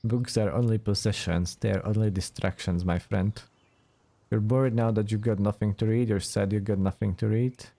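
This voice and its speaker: A man's voice: lowish voice, steady tone, normal speed, vocal fry, dark tone. A man giving casual let's play commentary, with short, dry, offhand remarks. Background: faint game music.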